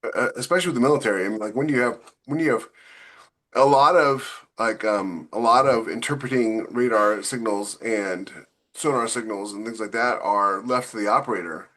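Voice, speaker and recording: A man's voice: fairly low pitch, brisk, a bit bright. A man on a casual podcast panel, talking in a rambling, conversational way as he works through his point.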